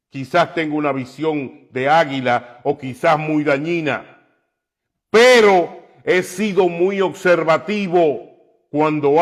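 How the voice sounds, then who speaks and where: A man preaching on the radio, slow and emphatic, climbing to loud, impassioned peaks. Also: high-pitched. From New York. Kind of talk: preaching commentary.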